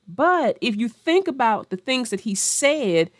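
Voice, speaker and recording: A woman's voice: fairly high pitch, big pitch swings, measured pace, no echo, studio quality. A woman hosting a children's read-aloud, narrating a picture book and chatting about it in simple, explanatory terms. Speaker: children's story narrator and host.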